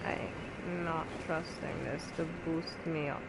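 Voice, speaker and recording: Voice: mid-range voice, steady tone, a little slow, vocal fry, heavy background noise. A gamer giving casual gameplay commentary, talking to themselves in short, scattered remarks. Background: game music.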